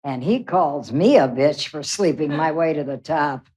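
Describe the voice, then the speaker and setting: Radio drama: actress as wisecracking, coldly wicked undead woman, defiant, with very animated pitch. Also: a little slow. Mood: sardonic. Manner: sarcastic, wisecracking. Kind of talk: live radio play acting.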